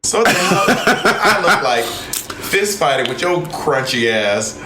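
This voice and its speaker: A man's voice: fairly high pitch, sing-song, slow. A man in his early thirties bantering and telling stories as a podcast co-host, sassy, theatrical and teasing. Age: early 30s. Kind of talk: casual comic banter and storytelling. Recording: echoey room, clear background noise.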